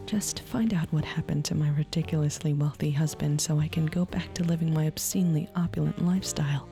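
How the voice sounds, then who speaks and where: A woman playing a spoiled, wealthy femme fatale in a noir ASMR roleplay, in a breathy, half-whispered voice. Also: low-pitched, steady tone, fairly quick, warm tone, faint music behind. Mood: pleading, haughty.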